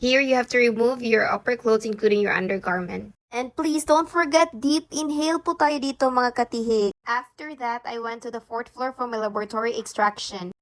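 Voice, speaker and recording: A woman's voice: on the high side, steady tone, a little fast, few pauses, a bit bright. A woman nurse with a Filipino accent, narrating a chatty, upbeat vlog with practical tips and switching languages mid-sentence. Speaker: nurse and vlogger. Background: background music.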